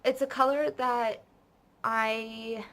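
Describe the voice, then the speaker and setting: A woman's voice: a little high, steady tone, takes it slowly, fairly bright tone. A young woman from North America hosting a knitting vlog, chatting casually and rambling off on tangents with lots of fillers. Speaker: knitting podcast host. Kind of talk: casual chat showing purchases.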